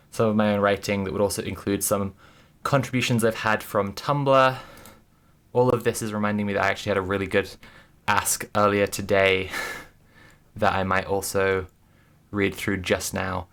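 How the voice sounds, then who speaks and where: A woman's voice: extremely low pitch, a bit bright. A woman streaming, talking casually and thoughtfully to her chat, with many restarts and fillers.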